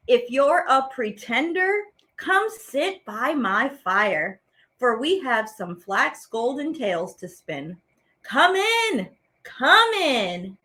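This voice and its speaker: Bright, high-pitched woman teaching children. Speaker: teaching artist hosting a children's show. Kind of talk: animated children's lesson and poem reading.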